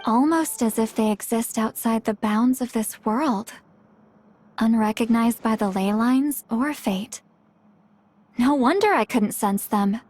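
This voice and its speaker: An actress voicing a laid-back, quietly confident young woman medium in a game, with a languid, casual delivery. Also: a little high, measured pace. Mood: thoughtful. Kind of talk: scripted video game character dialogue. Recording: game music behind the voice.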